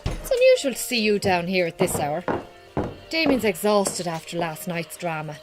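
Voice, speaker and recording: A woman playing a warm-hearted, brisk Irish farmer's wife in a radio drama, plain-spoken, with an Irish accent. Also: fairly low pitch, big pitch swings, over music. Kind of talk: naturalistic radio drama acting.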